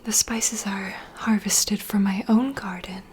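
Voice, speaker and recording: A woman playing a poised, courteous vampire bartender in a whispered ASMR roleplay, unhurried and softly teasing. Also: medium pitch, steady tone, slight background noise, natural voice. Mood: proud, inviting. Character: poised, welcoming female vampire bartender, proud mixologist.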